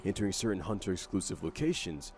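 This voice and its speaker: A man's voice: low voice, sing-song, quick pace. A man delivering scripted explainer narration for a video game update. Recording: faint music under narration. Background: faint music.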